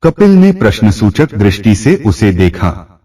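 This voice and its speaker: Slow, husky male storyteller. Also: on the low side, big pitch swings, dark tone, far from the mic. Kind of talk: scripted story narration.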